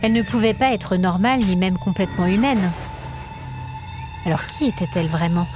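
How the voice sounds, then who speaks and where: A woman storyteller reading scripted dramatic narration in an expressive, suspenseful manner. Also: mid-range voice, normal speed, music in the background.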